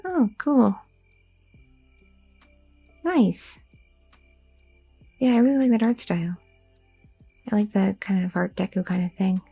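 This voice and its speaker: A woman's voice: medium pitch, slow pace, gravelly, long pauses, mellow, slightly rough, dry recording, faint music behind, exaggerated voice, low-quality recording. A woman voicing a curious, chatty young girlfriend in an ASMR roleplay, rambling with many fillers and nervous questions. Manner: rambling, inquisitive, filler-heavy talk.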